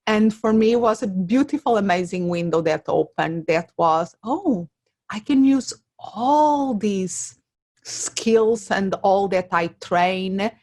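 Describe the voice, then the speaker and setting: A woman's voice: mid-range voice, expressive, slow, darkish tone. A middle-aged woman with a Portuguese accent, speaking as an interview guest and explaining things conversationally through examples and personal stories. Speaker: interview guest, career coach.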